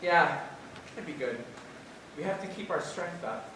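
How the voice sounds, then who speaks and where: A teenage boy acting a melodramatic young husband obsessed with a ball game in a high school stage comedy. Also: animated, measured pace. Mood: grave, earnest. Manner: overwrought, theatrical. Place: large theater stage, indoors. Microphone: far camera. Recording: roomy distant stage recording, noisy auditorium.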